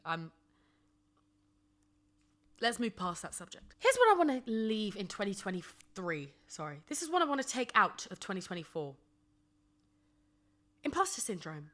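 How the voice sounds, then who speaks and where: Bright-voiced, emphatic young woman podcaster. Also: fairly low pitch. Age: 20s. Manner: animated, emphatic, repeats phrases for comic effect; chatty, sassy, colloquial. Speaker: podcast host. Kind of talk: casual solo chat with humorous rants.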